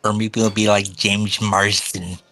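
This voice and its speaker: A man co-hosting a live game stream over a voice call, naturally loud, joking in a dry way. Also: lowish voice, little pitch movement, measured pace.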